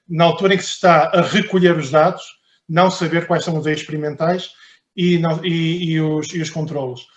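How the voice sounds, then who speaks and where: A man's voice: high voice, roomy sound. A man from Portugal with a European Portuguese accent, giving a conference talk and answering questions, often repeating words as he thinks. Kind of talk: conference presentation and Q&A.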